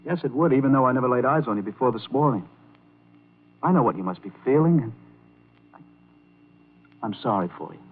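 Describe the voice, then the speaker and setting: An actor playing an earnest, awkward young man with a halting, boyish manner in an old-time radio drama. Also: medium pitch, expressive, natural voice. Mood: earnest, concerned. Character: earnest, awkward young piano player narrating his story. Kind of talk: 1940s radio drama acting and first-person narration. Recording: tinny old radio recording with music.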